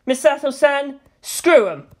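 A woman's voice: high-pitched, animated, measured pace, pitched above the performer's own voice. An earnest teenage boy in an anime-style audio story, read by a woman. He sounds serious and a little awkward, speaking with hesitant sincerity.

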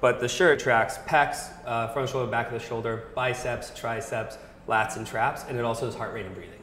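A man in his thirties demonstrating a fitness app, explaining clearly like a coach, in a large indoor gym. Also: medium pitch, fairly even intonation, moderate pace, a bit bright, slight background noise. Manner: fluent, instructive, coach-like. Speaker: startup product specialist. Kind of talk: product demo explanation. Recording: echoey gym room.

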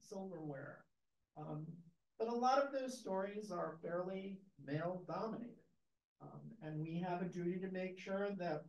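An older man, president of a preservation trust, giving relaxed welcome remarks with many fillers to an audience in a library meeting room, recorded from a camera in the audience. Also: fairly high pitch. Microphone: far camera in the audience. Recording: echoey room, dull lo-fi sound.

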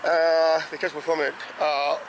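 Hoarse higher-pitched man, noisy outdoors. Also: little pitch movement, normal speed. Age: middle-aged. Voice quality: slightly hoarse. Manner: rambling, repeats "uh, basically". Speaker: vlog host. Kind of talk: casual vlog chat. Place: outdoors, roadside and ballpark. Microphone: handheld camera at arm's length. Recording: clear outdoor traffic and ambient noise.

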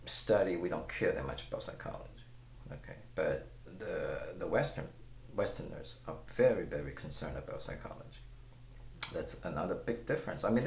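Deep, gravelly Chinese-speaking man with a rambling manner. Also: reverberant, a little hiss or hum. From China. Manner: rambling, repeats words, asks the viewer pointed questions. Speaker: guitar teacher. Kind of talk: casual talk to camera. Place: small room at home. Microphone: webcam at close range.

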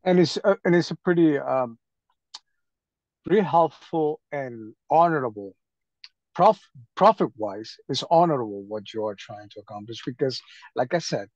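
A man from Venezuela talking casually on a group video call, haltingly, often repeating his phrases. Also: mid-range voice, expressive, a little mellow, no room sound. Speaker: call participant. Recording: dull lo-fi call audio.

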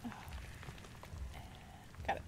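A young woman casually commenting as she plays a video game at home, chatty and excitable, muttering to herself. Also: very slow, slight background noise. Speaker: gaming video host. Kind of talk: casual let's play commentary. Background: loud game music.

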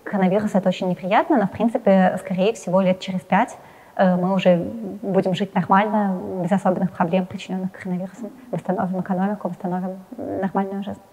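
A young adult woman answering interview questions while seated in a studio, talking quickly with frequent hesitant fillers. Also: medium pitch, little pitch movement, dark tone, breathy edge, long reverb tail, poor audio. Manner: hesitant fillers, fast and talkative. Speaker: interviewee, science journalist. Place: indoor studio with plain wall, seated in armchair.

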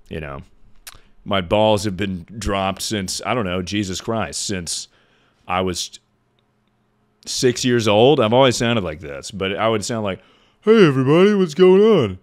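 A young man streaming from his bedroom into a boom-arm studio mic, chatting casually and rambling with lots of fillers in a deep voice. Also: a little slow. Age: young adult. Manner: rambling, self-deprecating, filler-heavy. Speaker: livestreamer. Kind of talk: casual Q&A livestream chat.

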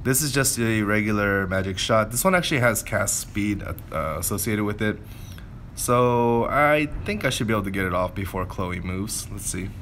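A man with a fairly low voice talks through gameplay casually. He thinks aloud and explains things as he goes, over clearly audible background noise. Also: expressive, moderate pace, a bit bright. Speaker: gameplay commentator. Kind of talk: casual game commentary.

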